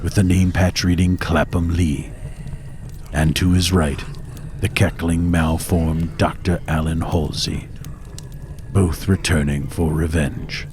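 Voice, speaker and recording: An actor playing a grim, haunted survivor, narrating gothic horror audio drama in a grave, dramatic way. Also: male voice, deep voice, fairly even intonation, slow pace, darkish tone, a little hiss or hum. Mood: grim dread. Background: faint music.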